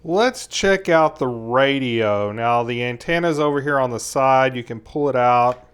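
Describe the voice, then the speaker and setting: A man's voice: medium pitch, measured pace, fairly bright tone, clean tone. A man giving a casual, methodical hands-on product review, explaining each step as he goes. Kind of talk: casual hands-on gadget demonstration.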